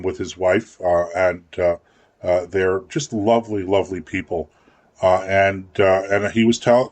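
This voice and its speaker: A man with a fairly low voice, talking politics on a radio show. He rambles a bit and doubles up his words as he thinks, and the sound is dry and close to the mic. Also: a little mellow, no echo. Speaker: radio talk show co-host. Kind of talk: casual political chat.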